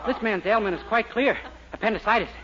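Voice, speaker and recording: A man's voice: high voice, fast talker, natural voice. An actor playing a naive, cocky young man who bumbles along earnestly in 1940s radio sitcom style. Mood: mock authority. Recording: muffled, very noisy 1940s radio recording.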